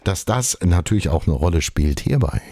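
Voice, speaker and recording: Lively low-voiced male news anchor. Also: moderate pace, loud music behind the voice. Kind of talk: news moderation and interview. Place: TV studio.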